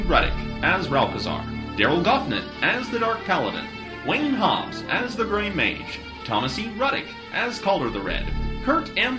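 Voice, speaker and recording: A male announcer reads scripted credits in a fairly high voice. His intonation is extremely animated, and loud music plays behind him. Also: low-quality recording.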